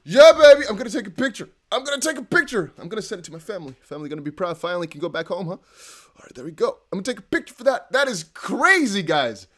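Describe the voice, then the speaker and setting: A young man with a Dutch accent hosting a casual livestream, reading chat aloud and breaking into excited whoops. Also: high-pitched, fast talker. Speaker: crypto livestream host.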